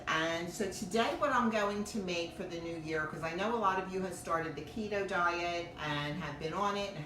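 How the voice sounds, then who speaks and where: A middle-aged woman with a loud, deep voice hosts a live cooking demo from her home kitchen, chatting and rambling as she thanks her viewers. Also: noisy background. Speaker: cooking show host. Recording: echoey kitchen, voice from far off.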